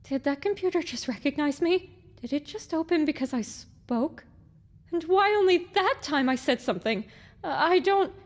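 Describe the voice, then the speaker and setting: Woman as high-pitched, emotional pony heroine. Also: normal speed. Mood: bewildered. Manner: incredulous, questioning, expressive. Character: young, emotional mare heroine, easily flustered.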